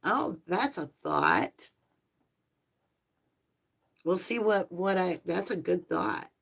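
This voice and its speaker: A woman tarot reader speaks slowly and gently on a live stream, her voice sitting a little low. She sounds slightly hoarse and tired as she drifts between the cards and her viewers, calling them her lovelies. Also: huge pitch swings. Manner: soft, slow, spiritual and affectionate, addressing viewers as lovelies. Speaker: tarot reader and channel host.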